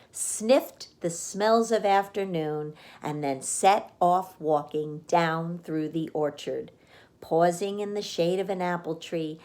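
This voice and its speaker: Slow-paced woman reading a children's story. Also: fairly low pitch, darkish tone. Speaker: children's library read-aloud reader. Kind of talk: expressive children's storybook narration.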